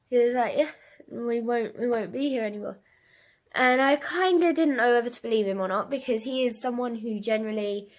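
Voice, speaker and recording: A young woman chatting casually in a video diary, congested with a cold and a cough. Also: a little high. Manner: chatty and rambling, with many fillers. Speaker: vlogger.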